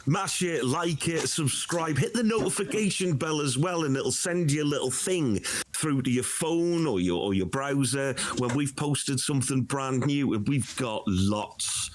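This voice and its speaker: Male co-host, croaky from a cold, animated delivery, light background hiss. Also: mid-range voice, measured pace. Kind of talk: casual chat.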